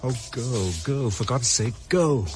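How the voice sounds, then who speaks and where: An actor playing a resourceful, harried English fugitive in a radio drama, hesitant and polite, with a British accent. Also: male voice, medium pitch, unhurried, faint music behind, close to an everyday voice. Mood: anxious, insistent. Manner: hesitant, polite, muttering to himself. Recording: clear vehicle and ambience noise.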